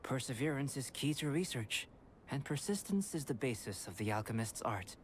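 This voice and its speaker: An actor playing a calm, aloof young alchemist in a video game, measured, polite and detached. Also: male voice, medium pitch, slight background noise, close to an everyday voice. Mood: composed. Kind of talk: video game character dialogue. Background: music.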